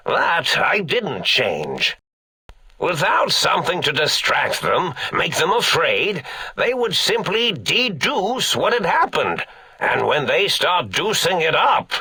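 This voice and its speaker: An actor playing an eccentric, forgetful elderly mad scientist in a video game, creaky and raspy, theatrical, pompous and rambling. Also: male voice, sits high, pushed and bright, dry recording, heavily acted. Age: older. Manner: rambling, pompous, absent-minded, melodramatic.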